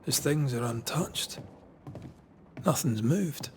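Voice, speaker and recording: An actor playing a steady, dryly witty ghost hunter in a video game, speaking in an understated, earnest way. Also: male voice, medium pitch, takes it slowly, a little hiss or hum. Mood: grave, focused. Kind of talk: cinematic game dialogue.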